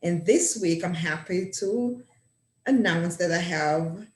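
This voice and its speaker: A woman's voice: fairly low pitch, slow, darkish tone. A woman hosting a talk show, giving formal, scripted introductions. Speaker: host. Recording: echoey room.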